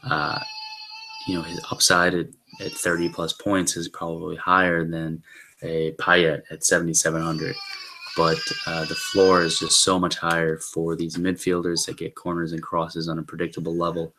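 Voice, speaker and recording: A man in his thirties talks through his picks in a low, flat voice. He is slightly hoarse and keeps clearing his throat, rambling with fillers and restarts. He is recorded on a webcam in a small room. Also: moderate pace, noisy background. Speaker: fantasy football analyst. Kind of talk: casual solo analysis. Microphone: webcam at desk.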